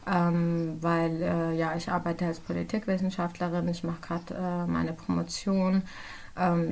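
A young woman in her twenties talks as a podcast guest. Her voice sits fairly low and stays flat and even. She speaks hesitantly, pausing often with fillers and starting sentences over. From Germany. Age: 20s. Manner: hesitant, many fillers and restarts. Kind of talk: casual conversational interview.

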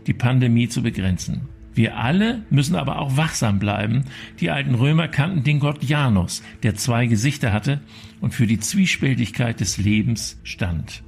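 A man from Berlin reading a measured, earnest radio commentary. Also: medium pitch, gravelly, a little mellow. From Berlin, Germany.

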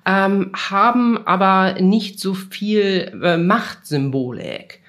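A woman's voice: medium pitch, animated, slow pace, a bit bright. A woman in her forties from Germany, answering interview questions conversationally, reflecting and feeling for her phrasing. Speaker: interview guest, international manager.

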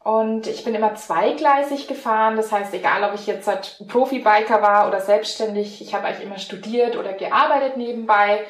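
A woman in her forties from Franconia with a Franconian accent, a mental coach chatting in an interview, laughing often and filling pauses. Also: mid-range voice, echoey room. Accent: Franconian German. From Franconia, Germany. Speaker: mental coach and riding technique trainer. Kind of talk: casual interview answers.

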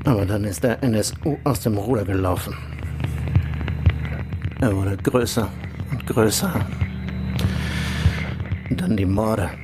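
Actor as self-justifying German intelligence official, music behind. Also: male voice, lowish voice, natural voice. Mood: defensive. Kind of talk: political radio drama dialogue.

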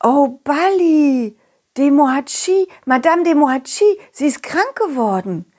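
A woman voicing a confident, loyal dog who tells an adventure to children in an expressive, chatty way. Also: high voice, measured pace, voice pushed up. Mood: thoughtful, eager. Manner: animated, expressive, addresses children directly. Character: confident, loyal, friendly talking dog telling his adventure. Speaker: puppeteer storyteller. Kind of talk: children's audio story, narrating to kids.

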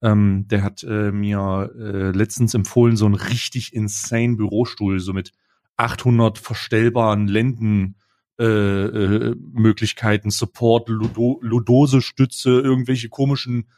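A man's voice: on the low side, level tone, a little slow, a little mellow. Rambling, joking man in casual podcast chat. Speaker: podcast co-host.

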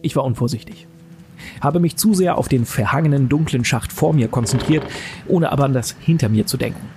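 A man's voice: medium pitch, a little fast, darkish tone, close to an everyday voice. A man playing a weary, bleak mercenary survivor, narrating an audio drama in a brooding, literary way. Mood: grim. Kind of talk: scripted first-person dramatic narration. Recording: voice over game music. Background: guitar music and game sound effects.

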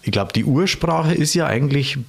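A man, chatting casually and telling anecdotes in a dry, measured way. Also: a little high, lively intonation, vocal fry, breathy edge. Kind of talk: casual chat.

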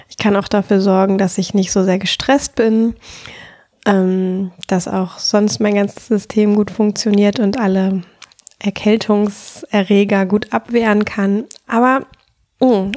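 A woman with a cold talks softly and thoughtfully in a mellow, dark voice, a little nasal and breathy. She speaks in a calm, unhurried way as she hosts her own podcast. The sound is dry and close. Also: mid-range voice, no echo. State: has a cold, slightly nasal. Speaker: podcast host and sexual life coach. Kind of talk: solo podcast talk.

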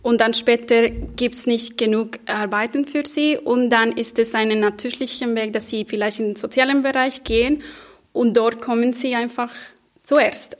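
A woman speaks German as a second language as a talk show guest. She is halting and keeps searching for words. Her voice sits somewhat high. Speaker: guest, social worker. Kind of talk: discussion answer.

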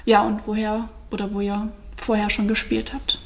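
A woman's voice: mid-range voice, completely flat delivery, normal speed, creaky. A young woman hosting a podcast, chatty and laughing easily as she talks with guests. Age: young adult. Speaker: podcast host. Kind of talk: casual podcast chat.

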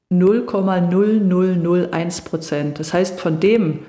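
A middle-aged woman with a slightly low voice talks through stage fright in a calm, explanatory way. She reassures her conversation partner like an experienced coach. The room around her has a noticeable echo. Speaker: voice and charisma coach. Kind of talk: podcast conversation. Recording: echoey room.

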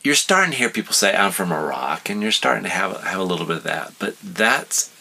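Low-voiced man. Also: fairly quick, vocal fry, husky. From United States. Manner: relaxed, hesitant restarts, laughs at own jokes. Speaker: podcast guest explaining history. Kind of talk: casual storytelling chat.

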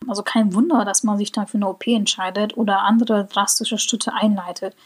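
A woman from Germany hosting a solo podcast, giving her opinions in a reflective way and slowing down on key points. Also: medium pitch, steady tone, slightly rough. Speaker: podcast host.

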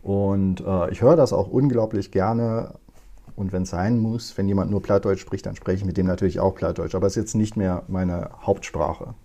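A man in his forties from northern Germany answers interview questions, thinking aloud as he goes. His voice is low, gravelly and mellow. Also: moderate pace. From East Frisia, northern Germany. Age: 40s. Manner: relaxed, thoughtful, many fillers. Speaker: guest. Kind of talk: conversational interview.

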